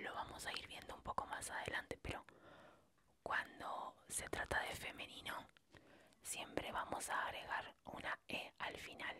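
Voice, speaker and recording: A woman whispering softly through a lesson, slow and patient, spelling words out letter by letter. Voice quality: soft whispering. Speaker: French teacher. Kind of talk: whispered language lesson, ASMR.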